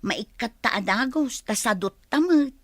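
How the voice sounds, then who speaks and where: A woman's voice: a little high, lively intonation, a little slow, fairly bright tone, husky, dry recording, clean studio sound, full character voice. A woman with an Ilocano accent playing a poor, complaining young village wife in a radio comedy drama. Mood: downcast. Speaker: voice actor.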